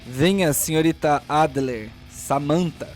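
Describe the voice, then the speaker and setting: A man's voice: fairly high pitch, slow, soft background music. A man narrating and hosting an audio role-playing drama as game master.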